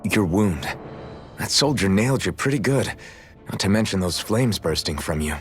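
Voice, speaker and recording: A man's voice: low-pitched, expressive, moderate pace, soft background music. An actor playing an easygoing, earnest young man in a video game, casual and unruffled. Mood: concerned. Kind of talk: dramatic video game voice acting.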